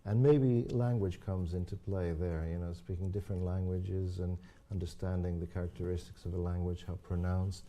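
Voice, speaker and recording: A deep, gravelly older man whose first language is Croatian speaks in a dark, mellow tone. He talks thoughtfully in a live interview, restarting phrases and filling pauses with soft yeahs. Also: steady tone. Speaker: horn player and teacher.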